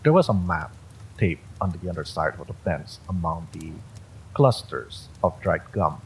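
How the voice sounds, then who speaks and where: A man's voice: deep voice, lively intonation, measured pace, breathy edge, poor audio. A man reading a scripted first-person horror story as its narrator.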